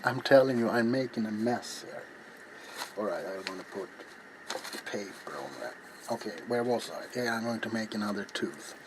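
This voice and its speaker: A man's voice: on the low side, normal speed. A middle-aged man hosting a casual ASMR craft tutorial, talking in a rambling, offhand, self-deprecating way as he works. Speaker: ASMR craft video host. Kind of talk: casual hands-on ASMR tutorial while working. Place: small cluttered indoor hobby workshop. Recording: noisy home recording, clear background noise.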